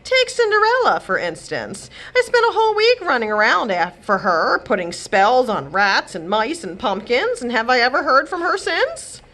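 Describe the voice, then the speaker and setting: A woman's voice: fairly high pitch, sing-song, moderate pace, few pauses, bright, forceful tone, pitched above the performer's own voice. A woman voicing a weary, touchy fairy godmother who mutters and complains, in a children's storybook read-aloud. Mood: grumbling.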